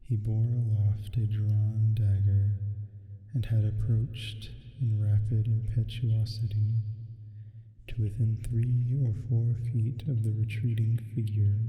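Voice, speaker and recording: A man voicing a sleep paralysis demon reading a horror story for ASMR in a slow, solemn, hushed, ominous way. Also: fairly low pitch, narrow, tinny audio. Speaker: ASMR roleplay performer. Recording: echoing long reverb, music underneath. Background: music.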